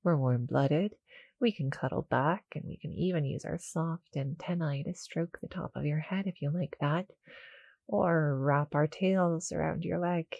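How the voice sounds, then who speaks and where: A woman voicing a small, sweet female cuddle monster in an intimate ASMR roleplay, soft and breathy, often near a whisper, gentle and a little hesitant. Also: low-pitched, measured pace, no room sound, dull audio, heavily acted. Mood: cozy, affectionate. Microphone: close, dry studio-style mic.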